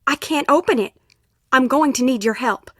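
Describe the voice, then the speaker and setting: A troubled young woman in a mystery game, with a fairly high voice. She is earnest and urgent, pleading as she confides. The sound is close and dry. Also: moderate pace, fairly bright tone, no room sound. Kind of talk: scripted game voice acting.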